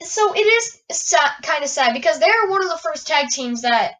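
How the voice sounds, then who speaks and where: A woman hosting a wrestling podcast, raspy and stuffy from a cold, moving from an announcer-style welcome into loose rambling chat full of fillers. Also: high-pitched, expressive, moderate pace, a bit bright, far from the mic. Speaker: podcast host. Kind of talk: wrestling news commentary.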